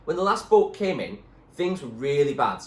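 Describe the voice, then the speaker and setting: A man playing a frank male doctor in a storybook reading, explaining and cautioning with firm emphasis as he gently breaks bad news. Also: on the high side, big pitch swings, normal speed. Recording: echoey room with clear background noise.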